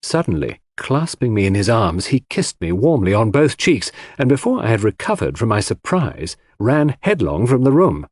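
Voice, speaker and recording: A man's voice: lowish voice, very animated, moderate pace, few pauses, a little mellow, husky, no echo, clean studio sound. A man narrating a mystery novel as a dramatic audiobook reader.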